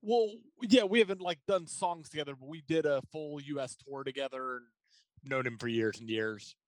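A man's voice: mid-range voice, moderate pace, no echo. A man chatting casually as a musician guest on a show. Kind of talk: casual chat.

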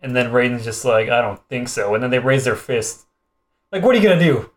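A man's voice: medium pitch, expressive, brisk. Laid-back man chatting about movies. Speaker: podcast co-host. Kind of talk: casual movie discussion.

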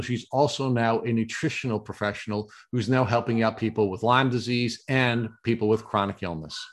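Steady male podcast host from New York. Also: mid-range voice. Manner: measured, summarizing questions. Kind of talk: interview hosting.

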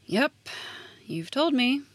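A woman playing a sardonic, deadpan high school staffer in a naturalistic audio drama. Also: slow pace, no echo, heavily acted. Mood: resentful, pressing.

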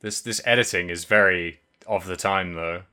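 A man's voice: low-pitched, sharp tone, clean tone. A man co-hosting a podcast, chatting casually and often restarting his sentences. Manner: halting, many restarts and fillers. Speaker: podcast co-host. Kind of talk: casual chat.

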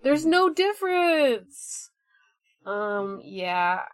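A woman's voice: sits high, very animated, drawn-out words, no echo, faint music behind. A woman co-hosting a podcast in casual chat, with dry, sarcastic asides. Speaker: podcast co-host.

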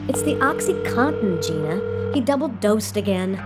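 A woman's voice: mid-range voice, very animated, moderate pace, over loud music, close to an everyday voice. A woman playing a weary, worried elderly mother in an audio drama, plaintive and fretful.

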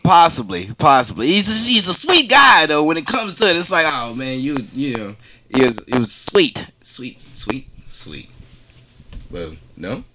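A man's voice: a little high, very animated, a little slow, a crowd in the background. A male podcast co-host telling casual comic stories, rambling along and acting out conversations.

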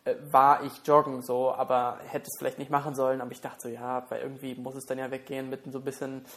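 A man's voice: mid-range voice, completely flat delivery, fast, fairly bright tone. Stuffy-nosed young man, rambling chat. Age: young adult. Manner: rambling, self-conscious, many fillers. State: stuffy nose from a cold. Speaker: podcast co-host. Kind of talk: casual chat.

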